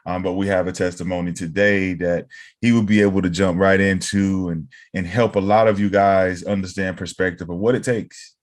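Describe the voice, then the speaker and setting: A man's voice: low voice, flat delivery, normal speed. A man hosting a podcast, introducing his guest in a rambling way with repeated phrases. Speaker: podcast host. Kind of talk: casual podcast interview.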